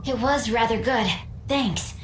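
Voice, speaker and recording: A woman voicing a refined, bookish teenage boy swordsman who speaks in a flowery, measured way. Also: on the high side, crowd noise, natural voice. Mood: elegant. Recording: echoey game audio with music.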